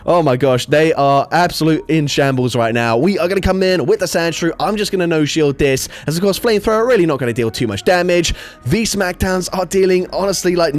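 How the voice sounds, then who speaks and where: A young man with a sore throat giving brisk play-by-play commentary on his own online game battles. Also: on the high side, lively intonation. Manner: brisk play-by-play with frequent fillers. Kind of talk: gameplay commentary.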